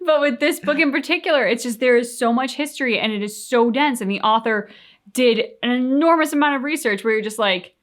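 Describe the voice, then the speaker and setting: A woman co-hosting a reaction show, talking casually and conversationally with expressive retellings. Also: on the high side, brisk.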